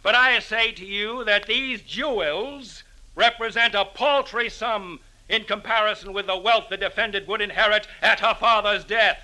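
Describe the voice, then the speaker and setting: High, piercing male prosecutor. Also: normal speed, pushed and bright, poor audio. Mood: accusing. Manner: formal, declamatory. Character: forceful male district attorney prosecuting a murder trial. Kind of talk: old-time radio acting, courtroom oratory.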